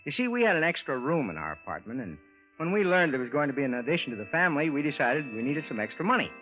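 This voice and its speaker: Casting: male actor for plainspoken, puzzled American everyman, lively intonation, old radio drama, narrow tinny sound. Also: mid-range voice, fast, faint music behind, lightly acted. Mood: earnest, recounting. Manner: plainspoken, conversational, earnest, expressive. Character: ordinary, earnest, mildly puzzled husband and landlord. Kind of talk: 1950s radio drama acting, first-person narration. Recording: thin, phone-like vintage radio recording.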